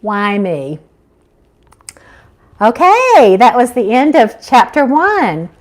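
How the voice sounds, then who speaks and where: A woman's voice: sits high, big pitch swings, slow pace. A woman hosting a casual live chat as an author, effusive and grateful toward her viewers. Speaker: author and live-stream host.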